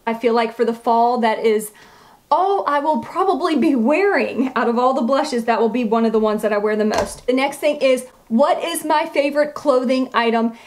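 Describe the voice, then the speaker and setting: A woman chatting casually as a beauty vlogger, gushing and emphatic, with a slightly froggy voice. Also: on the high side. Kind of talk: casual chatty product review to camera.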